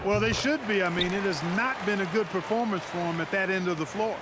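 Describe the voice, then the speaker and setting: A man's voice: high-pitched, fast talker, lightly acted. An American man giving opinionated, colloquial colour commentary for a basketball video game. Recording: loud arena crowd and noise. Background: loud crowd, bouncing basketball.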